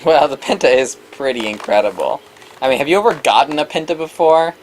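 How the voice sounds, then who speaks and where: A woman co-hosting a let's play, reading game dialogue aloud in a casual, animated way. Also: low voice, fairly quick, a little hiss or hum, rough recording.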